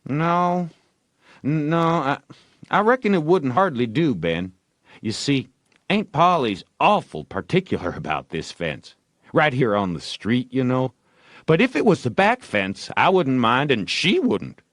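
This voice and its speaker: A man's voice: medium pitch, very animated, fairly bright tone, no echo, studio quality, voice pushed up. A man voicing a sly young boy who feigns carelessness and reluctance in folksy dialect, for an audiobook. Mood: coy reluctance. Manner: folksy drawn-out boyish dialect, coy. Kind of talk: audiobook character voice.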